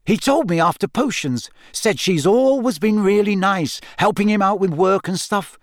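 A man's voice: sits high, moderate pace, no room sound, studio quality, pitched above the performer's own voice, close to an everyday voice. A man voicing a blunt, impatient, sulky teenage boy in an audiobook story. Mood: amused, mocking. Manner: blunt, exclamatory, sour.

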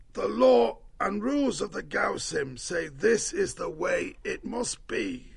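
Hoarse, high, dying shrew chieftain. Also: male voice, fairly bright tone, heavily acted. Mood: stern. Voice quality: hoarse, gravelly. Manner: slow, laboured, solemn. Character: wounded, dying male shrew leader, commanding and stoic.

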